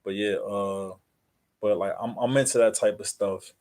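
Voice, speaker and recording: A man with an American accent chatting casually as a livestream host, in a halting, repetitive, rambling way full of fillers. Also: fairly low pitch, fairly even intonation, darkish tone. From New Jersey, USA.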